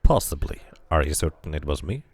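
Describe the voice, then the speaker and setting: Guy doing a low gravelly adventurer guy in a fantasy game, measured and confrontational. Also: sing-song, darkish tone, exaggerated voice. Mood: calm, probing. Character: male adventurer, calm, probing, firm and confrontational.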